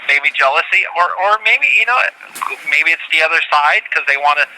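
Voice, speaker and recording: A man talking earnestly and haltingly from prison over a noisy phone line, repeating words as he makes his case. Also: a little high, expressive, pushed and bright. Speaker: prisoner being interviewed by phone. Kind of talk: earnest, unscripted phone interview talk. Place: prison, on a telephone call. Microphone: telephone.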